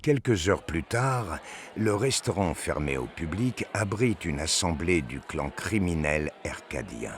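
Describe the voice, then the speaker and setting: A man's voice: sits low, big pitch swings, measured pace, slight background noise. A man narrating a sci-fi audio drama in a scripted, dramatic storytelling style.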